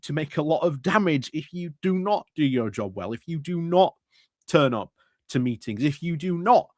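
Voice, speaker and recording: A man's voice: a little high, animated, moderate pace, dry recording. Hesitant man commenting, dry close mic. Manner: hesitant, many fillers and restarts. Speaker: political commentary streamer. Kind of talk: casual commentary over meeting clips.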